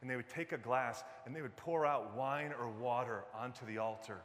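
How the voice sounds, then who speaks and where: A man's voice: mid-range voice, moderate pace, fairly bright tone. A man from Detroit in his fifties, preaching a sermon in a church in a conversational way, with many fillers and restarts and jokes with the congregation. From Detroit, US Midwest. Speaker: pastor. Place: church sanctuary. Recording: long reverb of a large hall.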